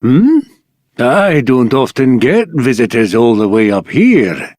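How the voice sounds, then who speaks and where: An actor with a British accent playing a gruff, short-tempered mountain workman in a video game, blunt and conversational. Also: mid-range voice, big pitch swings, slow pace, darkish tone, no echo, studio quality. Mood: casual, wheedling. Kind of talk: video game character acting.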